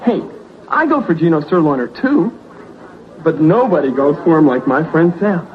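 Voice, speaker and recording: An American actor playing an enthusiastic pal bragging about a friend in a 1970s radio commercial, on a muffled vintage recording. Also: male voice, on the high side, very animated, normal speed. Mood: eager bragging.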